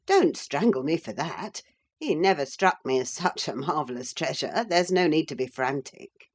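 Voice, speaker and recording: A woman voicing a fastidious gentleman husband who speaks in a clipped, disapproving way. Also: deep voice, sing-song, husky, heavily acted. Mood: cross. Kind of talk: audiobook character reading.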